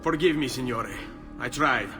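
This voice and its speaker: An actor playing an earnest, brooding young assassin in a video game, speaking gravely with an Italian accent. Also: male voice, mid-range voice, lightly acted. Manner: grave, measured, peppered with Italian words. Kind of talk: dramatic video game acting. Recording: reverberant, distant, with music behind.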